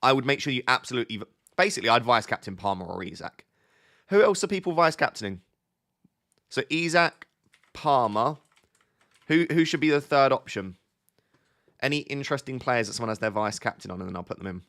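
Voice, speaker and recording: Male stream host, a little sniffly from a cold, middle-pitched, chatty and quick to restart his lines. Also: animated, a bit bright. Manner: chatty, thinks aloud, restarts sentences often. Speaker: livestream host answering viewer chat. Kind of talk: casual live-stream chat.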